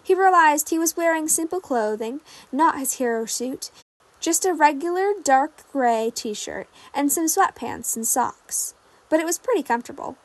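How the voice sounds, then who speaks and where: A woman's voice: high voice, animated, moderate pace, no room sound. A woman reading fan fiction aloud as a scripted story narration.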